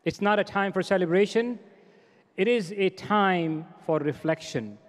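A middle-aged man gives a formal welcome speech from a podium, earnest and exhorting, often repeating his phrases. His voice sits high for a man. Podium microphones catch him, and a long reverb trails after each phrase in the large hall. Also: a little slow. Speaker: organization president giving a welcome address. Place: large convention hall stage.

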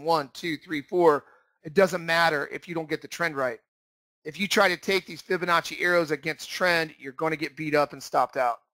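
A man's voice: on the high side, a bit bright, no echo. A man giving an instructional screen-share narration as a trading teacher, explaining emphatically and repeating his key points.

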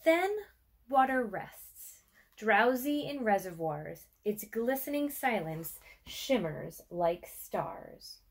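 A woman in her thirties reads a children's picture book aloud. Her pace is slow, with deliberate phrasing and pauses, and her pitch sits in a middle range for a woman. The delivery is expressive, made for young listeners. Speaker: museum educator reading aloud. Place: small furnished room with armchair and bookshelf, indoors.

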